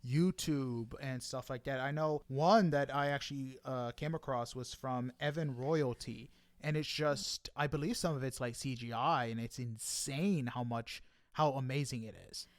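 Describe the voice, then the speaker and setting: A man's voice: medium pitch, normal speed, no room sound. A man interviewing a guest in a casual chat, feeling his way through his questions appreciatively. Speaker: podcast interviewer.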